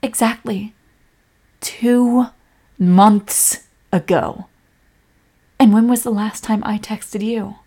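Husky, emotional girlfriend played by a woman, slow-spoken. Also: medium pitch, steady tone. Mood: hurt, accusing. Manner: emotional, swinging from sharp reproach to tender whispers. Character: hurt, apologetic girlfriend quarrelling with and comforting her partner. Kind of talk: scripted romantic audio roleplay, addressing the listener.

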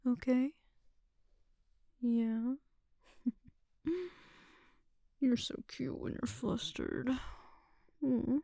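A woman performing an ASMR roleplay in a soft, teasing, drawn-out whisper, close to the mic. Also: fairly high pitch, level tone, gravelly, no echo.

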